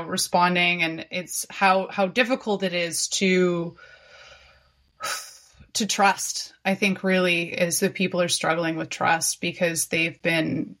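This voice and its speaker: Low-voiced woman host, reflective. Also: a bit bright. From Canada. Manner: reflective, searching for words. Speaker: podcast host. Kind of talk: casual conversational interview.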